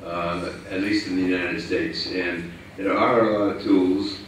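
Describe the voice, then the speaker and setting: Audience member, very deep voice, stammering, far from the mic in an echoey hall. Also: male voice, fairly even intonation, measured pace, a little hiss or hum. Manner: stammering, unsure.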